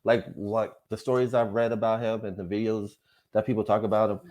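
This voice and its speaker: Man, podcast host, low-pitched, calm, brief remarks. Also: a little fast, dull audio. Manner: calm, brief, supportive interjections. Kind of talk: casual interview chat.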